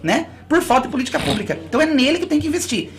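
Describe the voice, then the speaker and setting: Earnest school director with restarts. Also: female voice, high-pitched, very animated, very fast, a little hiss or hum. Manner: earnest, speaks in long explanatory runs with frequent restarts. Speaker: school director, interview guest. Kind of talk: radio interview. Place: radio studio.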